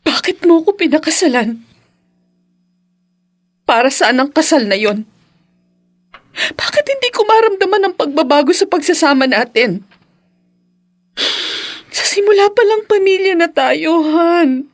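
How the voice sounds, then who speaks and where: A high-pitched wounded wife in a radio drama. She implores her husband with raw emotion, aching for assurance as a mother and partner. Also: lively intonation, unhurried, long pauses. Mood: hurt, demanding. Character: hurt, pleading wife and mother, longing for assurance. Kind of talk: Filipino radio drama acting.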